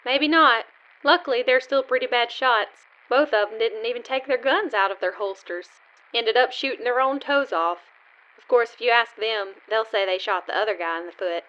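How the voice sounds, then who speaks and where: Woman as folksy western diner lady, high voice, unfazed and dry, bit muffled. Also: normal speed, lightly acted. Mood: unfazed, wry. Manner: folksy, matter-of-fact. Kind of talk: comic western radio sketch. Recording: slightly muffled recording.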